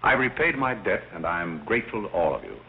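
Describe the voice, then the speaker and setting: An actor playing a composed, wise, courteous butler who speaks with measured, understated politeness in a 1930s-style film comedy. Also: male voice, low voice, big pitch swings, breathy edge, full character voice. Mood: gracious. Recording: tinny, hissy old film soundtrack.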